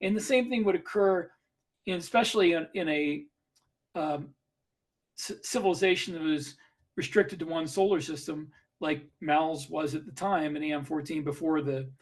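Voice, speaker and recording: A man's voice: a little high, moderate pace. A man co-hosting a podcast, making his case earnestly and step by step in casual discussion. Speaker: podcast co-host.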